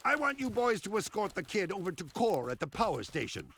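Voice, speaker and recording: An actor playing a brisk, bossy underground leader in a game cutscene, commanding and wry with sly humor. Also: male voice, high-pitched, sing-song, a bit bright, husky, heavily acted. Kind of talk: video game cutscene acting.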